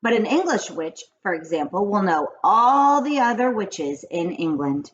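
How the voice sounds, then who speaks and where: Woman voicing an emphatic old grandmother, lively pitch. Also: unhurried, dull audio, slight background noise. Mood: gravely confiding. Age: older. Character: knowing old grandmother, gravely confiding witch lore. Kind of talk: children's storybook read-aloud.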